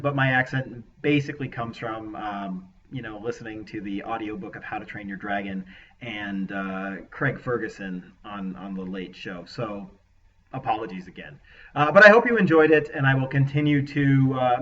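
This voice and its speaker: A man's voice: medium pitch, slight background noise. A man reading his own story aloud as narrator, recorded slightly distant with a dull sound. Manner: relaxed, hesitant chat with fillers after the reading. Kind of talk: audiobook narration.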